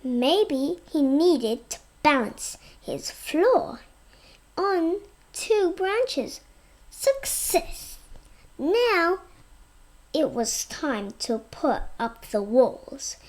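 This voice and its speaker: A young child reads a storybook aloud at a very slow, careful pace. The voice is high and lively, with plenty of rise and fall in the expressive delivery. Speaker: reader.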